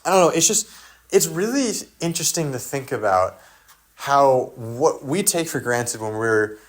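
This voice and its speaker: A man's voice: medium pitch, very animated, a little slow. A native English-speaking man in a casual solo monologue, thinking aloud with many fillers and restarts. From English-speaking country. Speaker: video essayist.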